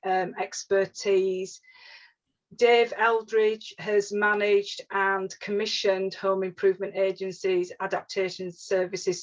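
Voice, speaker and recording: A woman with a Yorkshire accent, a regional advisor presenting a webinar, often repeating words and using fillers. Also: medium pitch. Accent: Yorkshire English. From northern England. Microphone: video call. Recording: slightly dull lo-fi webinar audio.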